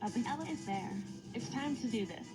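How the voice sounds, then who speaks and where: Casting: woman playing gleeful girl villain for amateur cartoon, higher-pitched, boastful, music blaring underneath. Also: animated, moderate pace, close to an everyday voice. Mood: scheming. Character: gleeful young cartoon girl villain plotting bridge destruction. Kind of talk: animated fan video dialogue. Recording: loud music behind the voice.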